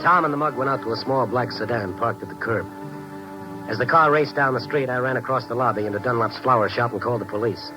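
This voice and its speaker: A man's voice: mid-range voice, fast, close to an everyday voice, low-quality recording. An actor playing a world-weary, compassionate American newspaper reporter who narrates his own story in 1950s radio noir style. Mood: somber narration. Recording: vintage 1950s radio recording with music behind. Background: dramatic underscoring music.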